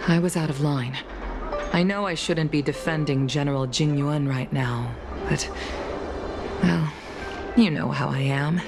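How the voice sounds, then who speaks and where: A woman playing a composed, mature official and former pilot in a video game, speaking in a measured, formal and courteous way. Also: deep voice. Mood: grave, concerned. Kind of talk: scripted video game voice acting. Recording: music behind the voice.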